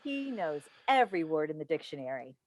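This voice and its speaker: A woman's voice: deep voice, big pitch swings, fairly quick, rough recording. A woman in a staged play reading, playing a chatty, coaxing radio call-in host and doting, meddling mother with an emphatic theatrical delivery. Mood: coaxing, teasing. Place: indoors on a video call. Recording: recorded over video call.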